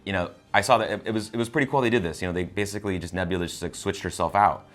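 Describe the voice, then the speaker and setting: A man with a very low-pitched voice talks in a casual, conversational review style. He comes across as an enthusiastic fan sharing his take with a co-host. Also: rapid-fire, a bit bright, faint music behind. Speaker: co-host of a film review show. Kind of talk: casual movie discussion.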